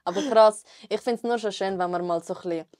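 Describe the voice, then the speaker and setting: A woman's voice: medium pitch, quick pace, a little mellow. A young woman with a Swiss German accent, a guest talking earnestly and chattily in a podcast conversation. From Switzerland. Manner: earnest, chatty, many fillers like voll and so. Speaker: guest rapper.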